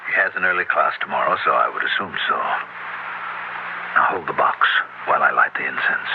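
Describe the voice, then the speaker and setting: A man's voice: very deep voice, expressive, brisk. An actor playing a sinister, commanding occultist man in a theatrical, incantatory 1970s radio horror style. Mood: curt, determined. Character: sinister, commanding occultist man summoning a spirit, turning to terror. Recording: noisy, muffled 1970s radio broadcast.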